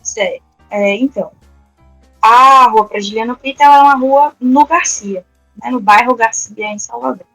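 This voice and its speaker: Higher-pitched woman, dry close sound. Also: normal speed, fairly bright tone, no echo, faint music behind, rough recording. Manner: hesitant, explaining with many fillers and restarts. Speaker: podcast guest, researcher and teacher. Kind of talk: casual conversational interview. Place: resident of a neighborhood in Salvador, Brazil.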